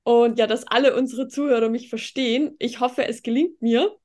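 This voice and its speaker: High-voiced Tyrolean woman with rolled R. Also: steady tone, normal speed, a little mellow. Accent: Tyrolean Austrian German. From Tyrol, Austria. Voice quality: strongly rolled R, harsh throaty K. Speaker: podcast co-host. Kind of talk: casual podcast chat.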